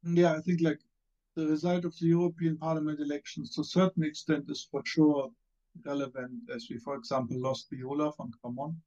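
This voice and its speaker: A man's voice: a little high, little pitch movement, a little fast, warm tone, dull audio. A man with a German accent speaking on a video call panel, hesitant, with many fillers and restarts. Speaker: panelist, former member of parliament. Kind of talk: online panel discussion.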